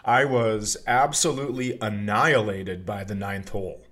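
A man's voice: fairly low pitch, normal speed, a bit bright. A middle-aged man and podcast host telling casual comic stories in a rambling, profane way.

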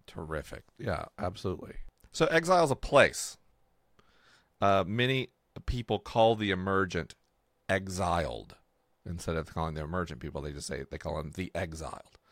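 Low-voiced man explaining in a dry close recording. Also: animated, normal speed, fairly bright tone, no echo. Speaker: podcast host and game creator explaining a fictional world. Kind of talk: casual explanatory discussion.

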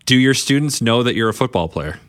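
A man hosting a sports radio show who asks brisk, friendly questions. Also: mid-range voice, animated, fairly bright tone, no room sound, studio quality.

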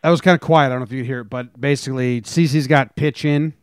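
A man's voice: medium pitch, fairly even intonation, quick pace, smooth, no echo. Casual male podcast host, dry close sound. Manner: informal, conversational. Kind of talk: casual chat, fan discussion.

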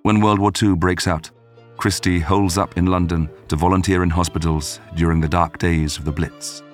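Man, deep voice, scripted documentary narration, measured polished storytelling, music underneath. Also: little pitch movement. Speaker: podcast narrator.

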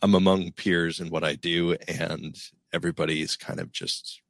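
A middle-aged man, co-host of a podcast, with a deep voice, talking in a rambling, self-deprecating way with many restarts. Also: little pitch movement, normal speed, gravelly, no echo, studio quality. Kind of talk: casual podcast chat.

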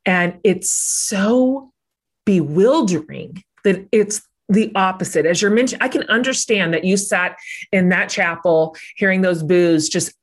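A woman hosting an interview, speaking in a thoughtful, measured way and drawing out words for emphasis. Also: medium pitch, lively intonation. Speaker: podcast host. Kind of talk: interview conversation.

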